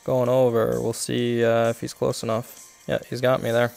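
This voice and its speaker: A man's voice: medium pitch, monotone, moderate pace, gravelly, a little mellow, clean tone, soft background music. Congested man talking through his game. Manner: explains his shots step by step, with many fillers. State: congested, coughing. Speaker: game streamer. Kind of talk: live gameplay commentary.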